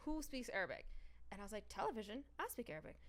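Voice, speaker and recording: A woman's voice: medium pitch, sing-song, rapid-fire. A young adult woman stand-up comedian telling stories in a casual podcast chat, swearing, laughing and acting out other people's lines.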